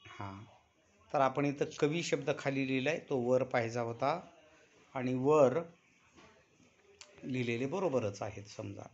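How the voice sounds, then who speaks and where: A man with a Marathi accent teaching a Sanskrit class online, instructive and deliberate in his enunciation. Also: medium pitch, a little mellow. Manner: instructive, enunciates deliberately, prompts students with questions. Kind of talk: online class instruction with chanted verse recitation. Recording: dull, muffled online class audio.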